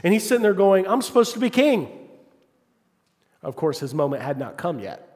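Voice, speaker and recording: Fervent preacher in a reverberant hall. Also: male voice, sits high, big pitch swings, moderate pace. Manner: call-and-response, asks congregation "Amen?"; rises to loud, fervent passages, drops to quiet asides. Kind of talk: sermon.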